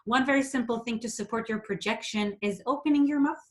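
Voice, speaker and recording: A woman from Israel whose first language is Hebrew, coaching public speaking in a clear, deliberate way and sometimes exaggerating words to demonstrate. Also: fairly high pitch. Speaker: presentation skills coach. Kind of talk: instructional talk.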